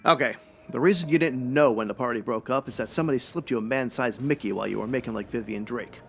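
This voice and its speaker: Gravelly hard-boiled private eye played by a man. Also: mid-range voice, fast talker, faint music behind, close to an everyday voice. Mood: brusque. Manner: clipped, slangy, wisecracking. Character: hard-boiled male private detective, brusque, slangy. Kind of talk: noir radio drama comedy acting.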